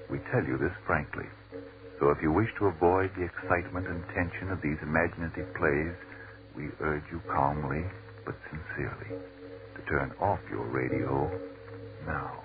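Deep, solemn 1940s radio announcer man. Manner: solemn, measured, grand. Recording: tinny 1940s radio broadcast with hiss.

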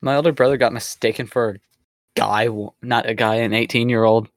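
A man with a fairly high voice, a guest and friend on a casual podcast, teasing and correcting the host. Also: fairly even intonation, a little fast, dry recording. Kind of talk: casual chat.

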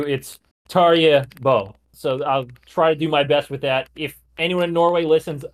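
A man co-hosting a podcast, casually recounting an athlete's career with frequent "like" and "you know". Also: fairly high pitch. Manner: chatty, lots of "like" and "you know", occasional restarts. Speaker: podcast co-host.

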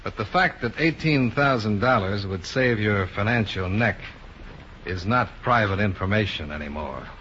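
A man's voice: on the low side, expressive, normal speed, close to an everyday voice. A man playing a blunt, cool-headed insurance investigator in a 1950s radio detective drama, with dry, clipped delivery. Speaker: lead actor. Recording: dull, noisy 1950s radio recording.

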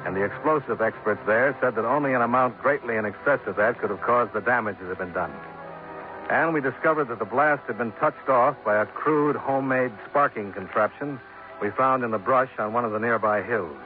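An actor playing a cool, level-headed American insurance investigator, dry and matter-of-fact in a 1950s radio detective drama. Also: male voice, mid-range voice, a little fast, narrow, tinny audio, music in the background, close to an everyday voice. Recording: thin 1950s radio recording.

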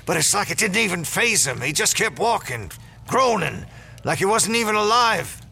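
A man's voice: high voice, sing-song, moderate pace, creaky, sharp tone, a little hiss or hum, full character voice. A man acting a serious, take-charge small-town sheriff in an audio drama, speaking earnestly. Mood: shaken.

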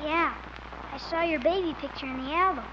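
Woman playing a high-voiced, stubborn young boy. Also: fairly quick, natural voice. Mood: quiet. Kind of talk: 1950s radio situation comedy acting. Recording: noisy, dull 1950s radio recording.

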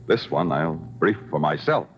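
An American man playing a terse, deadpan, world-weary police detective in a vintage radio crime drama. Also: a bass voice, unhurried. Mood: brooding narration. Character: hard-boiled male night-shift Broadway police detective, world-weary. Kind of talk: 1950s radio crime drama acting and narration. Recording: dull vintage radio recording with music.

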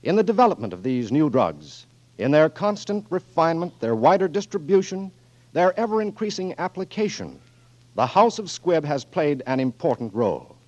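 A man's voice: fairly high pitch, sing-song, normal speed, darkish tone. A male radio announcer reading sponsor copy and closing credits in a formal, polished manner. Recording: dull old radio broadcast with music.

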